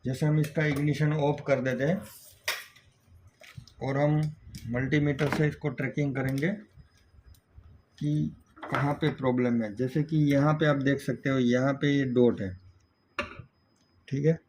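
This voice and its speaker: A man's voice: medium pitch, steady tone, measured pace, long pauses. A man with an Indian accent giving a hands-on technical tutorial as a repair instructor, explaining step by step and often checking in with the learner. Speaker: auto electrical repair instructor. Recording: dull lo-fi sound, faint background noise.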